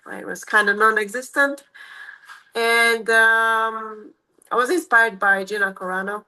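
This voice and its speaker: Slow-talking woman with a Romanian accent. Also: a little high, steady tone, sharp tone, low-quality recording. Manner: hesitant, many fillers and restarts. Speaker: MMA fighter, guest. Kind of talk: casual interview answers.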